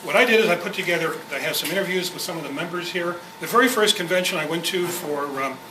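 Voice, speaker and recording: A man with a slightly high voice introduces a club program informally, rambling as he goes. He is picked up from a distance in a very echoey room with clear background noise. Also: fast talker. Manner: rambling, conversational. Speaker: presenter, club member. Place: indoor club meeting room.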